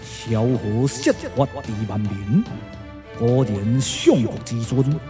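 A man's voice: mid-range voice, over music, natural voice. A man with a Taiwanese accent playing a grave, courtly, emotional strategist in a glove-puppet radio drama, declaiming theatrically. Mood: solemn, courtly. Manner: declamatory, theatrical, drawn-out exclamations.

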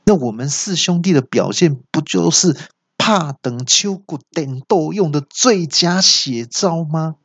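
A man's voice: on the high side, expressive, unhurried, a bit bright, husky, no room sound, pitched above the performer's own voice, full character voice. A man voicing a boastful, scheming male mountain demon who draws out his words in a wheedling way. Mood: mocking.

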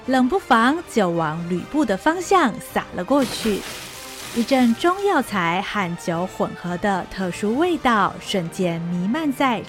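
A woman narrating a historical radio drama in a vivid, dramatic storytelling style. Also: mid-range voice.

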